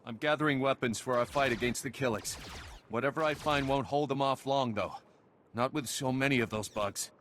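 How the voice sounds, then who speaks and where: A man acts a loyal army sergeant in a video game, worn by battle yet clinging to hope. His mid-pitched voice is earnest and soldierly, with faint noise behind it. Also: normal speed, fairly bright tone, close to an everyday voice. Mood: urgent relief. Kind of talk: scripted video game dialogue.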